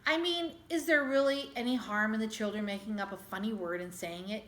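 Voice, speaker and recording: A woman's voice: mid-range voice, fairly quick, a bit bright, close to an everyday voice. A woman reader voicing a firm, reasonable, protective mother in a children's storybook, with a direct manner. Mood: questioning. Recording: echoey room.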